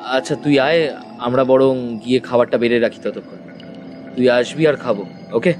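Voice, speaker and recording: A man's voice: mid-range voice, steady tone, moderate pace, darkish tone, faint music behind, lightly acted. A man playing a polite, practical young man who placates others in an audio horror story. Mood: placating.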